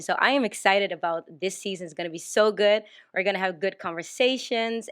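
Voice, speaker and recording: A woman's voice: medium pitch, fairly bright tone, clean tone. A woman of twenty-eight hosting a casual group talk show, upbeat and enthusiastic. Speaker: main host.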